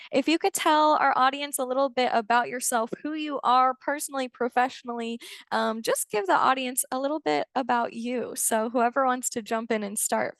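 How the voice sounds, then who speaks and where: A woman moderating a webinar over a video call, speaking gently with many pauses. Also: a little high, gravelly, dry recording. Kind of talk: hosting a webinar.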